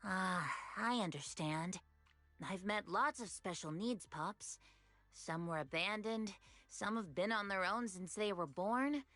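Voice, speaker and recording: A woman's voice: medium pitch, slow pace, fairly bright tone, no room sound, faint music behind. A woman voicing a cheerful, kindhearted pet shop clerk in a video game, friendly and earnest. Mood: earnest, kind.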